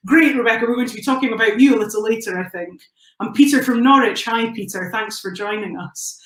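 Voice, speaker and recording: A woman's voice: fairly high pitch, brisk, fairly bright tone, echoey room. A woman with a Scottish accent hosting a live online science event, chatty and welcoming as she greets viewers and introduces speakers. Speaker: host.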